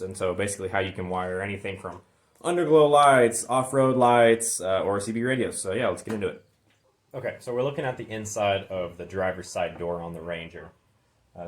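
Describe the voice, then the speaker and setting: Low-pitched man explaining a hands-on job. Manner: informal, talks while working. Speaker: host of a DIY garage vlog. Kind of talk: casual hands-on how-to explanation.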